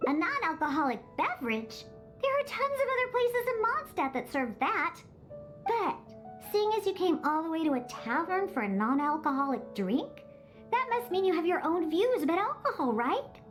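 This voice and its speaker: High-pitched, huffy little catgirl bartender. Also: very animated, normal speed. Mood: haughty, skeptical. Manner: huffy, haughty, sulky. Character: grumpy young catgirl bartender who despises alcohol. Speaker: video game character. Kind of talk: animated game voice acting. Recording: music behind the voice.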